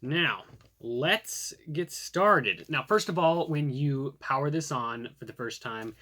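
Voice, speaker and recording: A man's voice: mid-range voice, fairly bright tone. A man giving a casual product review to camera, chatting and thinking aloud as he demonstrates.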